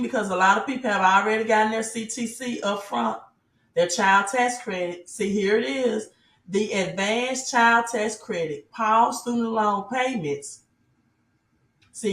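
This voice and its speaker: Female motivational business promoter, mid-pitched voice, emphatic and repetitive delivery, unscripted sales pitch with rhetorical questions, echoey room sound. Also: a bit bright. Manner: emphatic, repeats key phrases, rhetorical questions. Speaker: business promoter and team leader giving an online presentation.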